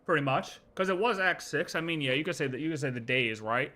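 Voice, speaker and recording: A man's voice: fairly high pitch, expressive, fast talker, sharp tone. A man giving casual, playful live game commentary, breaking into exclamations and talking to the characters. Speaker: livestreamer. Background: game music behind the voice.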